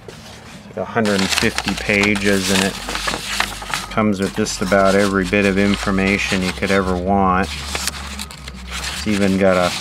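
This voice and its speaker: A man's voice: low-pitched, a little hiss or hum. A man casually demonstrating a radio repair at his workbench, explaining each step plainly as he works. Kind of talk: casual hands-on workshop demo.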